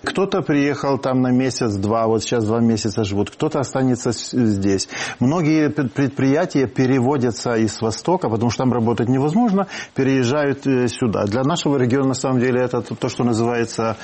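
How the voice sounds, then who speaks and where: A man's voice: mid-range voice, a little fast, mellow. A man with a Ukrainian accent, a political scientist guest explaining his views in a studio discussion, repeating words as he reasons.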